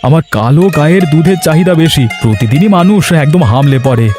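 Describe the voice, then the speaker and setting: A man's voice: on the high side, lively intonation, quick pace, lightly acted. A man voicing an earnest, devoted young village milk seller in a Bengali cartoon, speaking plainly. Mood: matter-of-fact. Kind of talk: Bengali animated moral-tale voice acting. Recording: music bed behind the voice.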